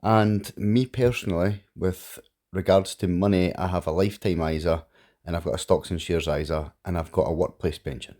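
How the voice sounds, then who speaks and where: Deep-voiced Scottish man explaining finances. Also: fairly even intonation, moderate pace, a little mellow. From Scotland. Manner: rambling, conversational, with restarts and fillers. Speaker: crypto channel host. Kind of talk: casual explainer monologue.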